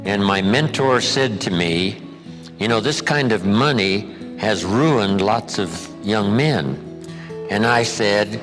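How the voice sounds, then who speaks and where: A man answering a question with a personal anecdote, laughing easily. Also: on the low side. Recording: hall reverb with music.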